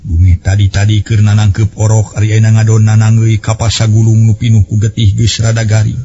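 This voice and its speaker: A man's voice: lowish voice, monotone, a little fast, background noise. A man with a West Javanese accent telling a story in dramatic radio style, recorded on old cassette. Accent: Sundanese-language West Javanese.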